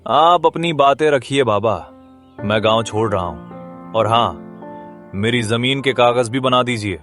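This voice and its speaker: A man's voice: mid-range voice, measured pace, fairly bright tone, faint music behind, close to an everyday voice. A man voicing a proud, stubborn young farmer's son in a cartoon story, speaking curtly and insistently. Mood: harsh, defiant. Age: young adult. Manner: curt, insistent. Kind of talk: Hindi cartoon story dialogue.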